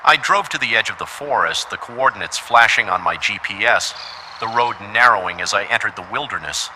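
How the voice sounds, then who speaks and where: A male narrator tells a first-person horror story from a script. His voice sits a little low. Noticeable noise runs behind him in the recording. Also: brisk, pushed and bright, rough recording.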